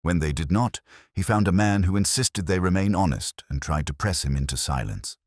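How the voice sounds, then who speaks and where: Man performing wry male inspector, detective audiobook, very low pitch, husky, measured, aphoristic. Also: lively intonation, no room sound, clean studio sound. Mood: grave, assured. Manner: measured, aphoristic, gently ironic. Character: dry, unhurried, wryly philosophical male police inspector.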